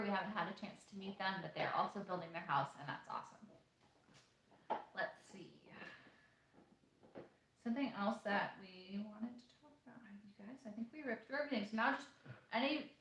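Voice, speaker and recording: A woman's voice: mid-range voice, fairly bright tone. A woman in her late twenties with a mid-range voice, co-hosting a casual Q&A. She reads viewer questions aloud and chats loosely, with plenty of "um"s. The room around her is echoey. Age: 20s. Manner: chatty, reads questions aloud, many fillers. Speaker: co-host of a homesteading channel. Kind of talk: casual live Q&A chat. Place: room in an off-grid shop.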